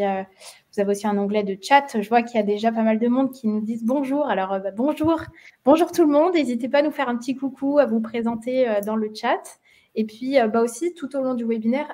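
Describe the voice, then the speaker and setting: A woman's voice: a little high, warm tone. A young woman from France with a Parisian accent, hosting a webinar and talking with many hesitations and fillers. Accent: Parisian French. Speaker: marketing webinar host. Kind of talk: webinar presentation.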